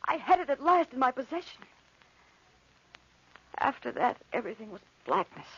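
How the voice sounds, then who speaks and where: A high, breathy woman's voice on a dull vintage radio recording. an anxious young bride haunted by a nightmare, telling it with breathless, dramatic emotion in 1940s radio style. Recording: muffled old radio recording.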